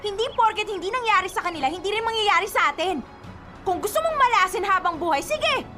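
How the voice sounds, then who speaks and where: A woman's voice: very high voice, animated, fast, music in the background. A woman with a Filipino accent playing a superstitious, stubborn young bride-to-be in a radio drama, scolding and insistent. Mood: agitated, stubborn.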